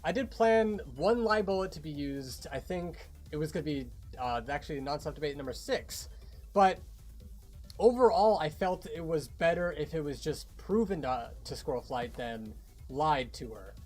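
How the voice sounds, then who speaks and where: A man's voice: a little high, animated, normal speed, a little mellow, soft background music. A man co-hosting a behind-the-scenes livestream, talking casually about a creative project.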